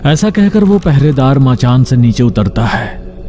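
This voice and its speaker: A man's voice: medium pitch, big pitch swings, a little slow, warm tone, a little hiss or hum. A man narrating a scripted horror story.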